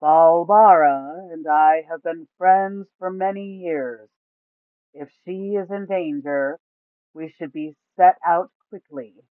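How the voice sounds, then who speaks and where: A woman voicing a giant old male turtle, friendly, who speaks slowly in drawn-out words. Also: lowish voice, no room sound, narrow, tinny audio. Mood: slow, concerned. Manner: slow, drawn-out speech.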